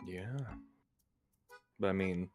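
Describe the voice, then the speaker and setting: Male stream guest, deep voice, relaxed conversational delivery, agreeable, light music underneath. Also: slow. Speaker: guest streamer, singer and voice actor. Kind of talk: casual chat on a collab livestream.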